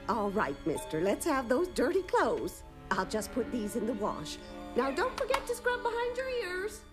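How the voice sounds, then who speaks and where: A brisk suburban cartoon mom, always ready to scold. Her high voice swoops widely over background music. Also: huge pitch swings. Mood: brisk, motherly. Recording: music behind.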